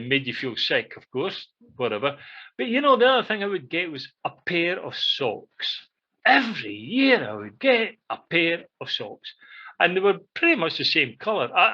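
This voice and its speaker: A man's voice: mid-range voice, bright, forceful tone. The recording is dull and lo-fi. A Scottish man chats casually about old memories as a podcast co-host. He rambles and halts, leaning often on "you know" as he finds his way. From Scotland. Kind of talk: casual reminiscing chat. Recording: dull, lo-fi band-limited audio.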